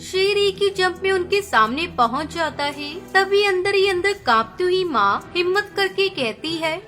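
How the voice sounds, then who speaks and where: A woman's voice: extremely high pitch, animated, moderate pace, sharp tone. A woman reading scripted narration of a children's story as its storyteller. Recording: clear music bed under narration. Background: music.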